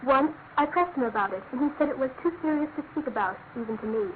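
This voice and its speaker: An actress plays a young British woman, a loyal and anguished fiancée in a radio mystery. Her voice is high and refined, with earnest, halting emphasis. The recording is thin and phone-like, lightly noisy. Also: lightly acted. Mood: subdued, earnest. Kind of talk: classic radio drama acting. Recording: noisy, narrow-band old radio recording.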